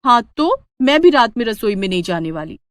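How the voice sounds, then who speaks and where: Woman acting whiny, high-pitched young daughter-in-law. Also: very animated, fairly quick, a bit bright, no room sound. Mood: indignant. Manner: whiny, protesting. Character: bickering younger daughter-in-law dodging kitchen work. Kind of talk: Hindi family story dialogue.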